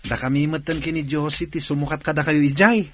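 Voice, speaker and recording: Man playing an eager high-voiced younger brother. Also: little pitch movement, a little fast, a little hiss or hum, lightly acted. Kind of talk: Ilocano radio comedy drama acting.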